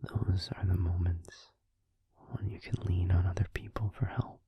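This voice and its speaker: A hushed, very breathy vampire boyfriend, acted by a man, murmurs low and close in a dry space. He is gentle and tender, soothing his partner in an intimate roleplay audio. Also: level tone, dry recording, dull audio, full character voice. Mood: gentle, understanding. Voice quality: breathy, hushed, mostly whispered. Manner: soothing, tender, slow and reassuring. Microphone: close microphone.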